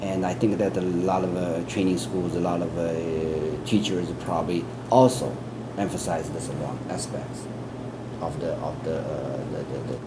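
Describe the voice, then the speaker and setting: A man's voice: sits low, steady tone, unhurried. Chinese-accented man with earnest restarts. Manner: earnest, repeats words and restarts often. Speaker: interviewee, English test expert. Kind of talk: explanatory interview answers. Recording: air conditioning hum in background.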